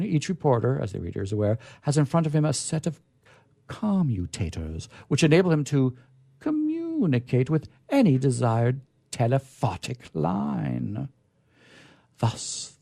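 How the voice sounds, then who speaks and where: Man reading aloud with animated, swooping pitch. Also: vocal fry, husky, no echo. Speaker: radio show host reading stories aloud. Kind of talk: live literary reading with casual asides.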